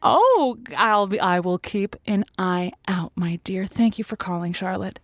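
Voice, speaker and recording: A woman's voice: medium pitch, animated, measured pace, dry recording, clean studio sound. A woman playing a thoughtful, sympathetic host of a conversational call-in advice show, with a hesitant, stammering delivery. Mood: amiable, thoughtful.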